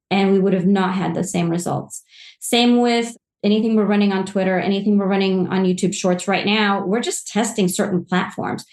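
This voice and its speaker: A woman's voice: mid-range voice, a little mellow. A woman in her forties from Poland, answering podcast questions about social media marketing in an enthusiastic, rambling way with frequent restarts. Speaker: social media agency guest. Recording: roomy echo.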